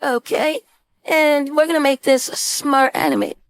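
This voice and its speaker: A woman instructor giving a casual screen-share software tutorial, thinking aloud informally as she demonstrates. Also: high-pitched, steady tone, measured pace, dry recording. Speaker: design instructor.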